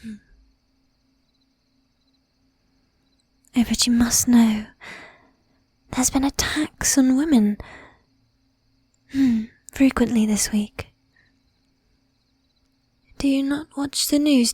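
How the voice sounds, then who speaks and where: Woman whispering as a breathy, flirtatious lady vampire. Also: fairly high pitch, a little mellow, no room sound, exaggerated voice. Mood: polite, teasing. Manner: coaxing, affected old-fashioned formality, drawn-out teasing. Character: bossy, flirtatious, predatory lady vampire, sweetly menacing. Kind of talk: whispered ASMR roleplay. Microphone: close ASMR microphone.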